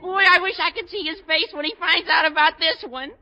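An actor playing an excitable, mischievous teenage schoolboy with a cracking, squeaky voice in a 1940s radio comedy. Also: male voice, brisk, highs cut off. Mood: mischievous glee. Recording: noisy 1940s radio with studio audience.

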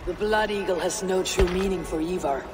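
A woman's voice: lowish voice, moderate pace, noisy background, close to an everyday voice. An actress playing a stern young female Viking warrior in a video game, terse and disapproving.

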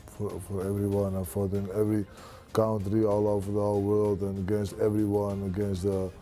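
Dutch guy in his 30s, confident fighter talk, lots of uh's, lowish voice, lapel mic in a studio. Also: completely flat delivery, warm tone, faint music behind. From Netherlands. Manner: confident, halting with many fillers. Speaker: kickboxer. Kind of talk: pre-fight interview. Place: studio with branded backdrop.

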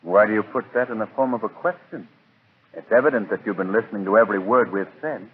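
An actor playing a composed, suspicious gentleman adventurer in a 1940s radio drama, polite but direct. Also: on the low side, fast talker, close to an everyday voice. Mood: challenging. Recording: noisy, tinny 1940s radio recording.

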